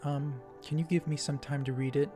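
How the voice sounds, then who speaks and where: A man's voice: a little high, little pitch movement, a little fast, pitched above the performer's own voice, close to an everyday voice. A man acts out a young woman scientist from a visual novel game. The voice is lifted well above his own and sounds flustered and earnest, with faint music behind it. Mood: hesitant. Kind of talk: reading game dialogue aloud in character.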